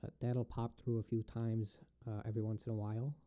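A man's voice: on the low side, flat delivery, warm tone. A man with an upstate New York accent, musing aloud in a casual, rambling solo video and often stopping to restart his thoughts. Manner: rambling, halting, thinks aloud. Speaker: vlogger answering questions.

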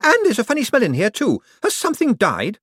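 A man voicing a spoiled, haughty young rich woman who talks in a shrill, bossy, dismissive way. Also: wildly swooping pitch, a little fast, fairly bright tone, slightly rough, no room sound, clean studio sound, heavily acted.

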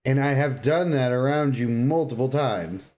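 A man's voice: mid-range voice, normal speed, a little mellow, a little hiss or hum. A man co-hosting a podcast, chatting casually about games with crude, informal joking. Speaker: podcast co-host. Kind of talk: casual gaming chat.

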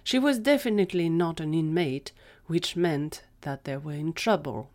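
A woman's voice: sits low, moderate pace, darkish tone. A woman reading scripted story narration as the narrator of an audio drama.

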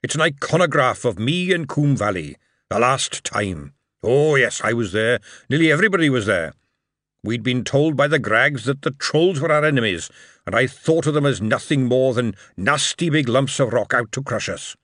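Old dwarf cobbler, read by a man, gruff and blunt, lively in the telling, dry sound. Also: medium pitch, normal speed, dry recording. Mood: gruff reminiscing. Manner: gruff, telling a war story with rising excitement.

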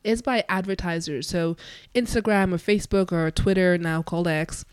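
A woman's voice: lowish voice, fairly even intonation, normal speed, a little mellow, clear, resonant tone, no echo, clean studio sound. A woman hosting her own podcast, talking through ideas in a conversational, explanatory way, her voice nasal and congested from a cold. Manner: conversational, explanatory, thinking aloud. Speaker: podcast host. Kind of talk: solo podcast monologue.

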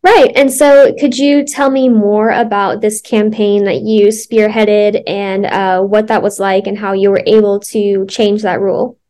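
A woman reporter asking interview questions in a conversational, slightly tentative and halting way. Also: mid-range voice, flat delivery, a little mellow.

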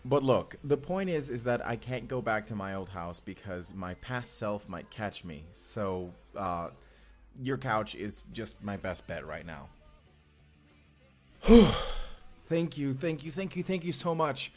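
A man playing an anxious, low-voiced young time traveler over music. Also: big pitch swings, slow pace, darkish tone, heavily acted. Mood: defensive, then relieved, grateful. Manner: conversational, hesitant, rambling. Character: anxious, earnest young man stranded from the future. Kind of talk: first-person audio roleplay acting. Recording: music behind the voice.

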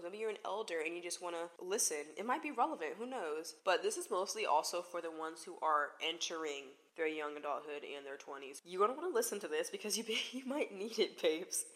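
A woman's voice: fairly low pitch, bright, forceful tone. A woman in her twenties hosting her own podcast, talking in a chatty, playful way and often laughing. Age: 20s. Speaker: podcast host. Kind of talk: casual solo podcast chat.

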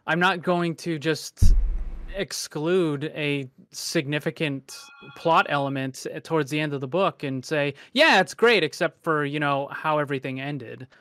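A man's voice: on the high side, measured pace, fairly bright tone, no echo, clean studio sound. A man in a casual debate, speaking hesitantly but insistently and often repeating himself as he makes his point. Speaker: discussion panelist.

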